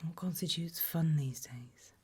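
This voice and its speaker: A British woman whispers gently and unhurriedly in a breathy, reassuring ASMR medical roleplay as a doctor. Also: low-pitched, unhurried.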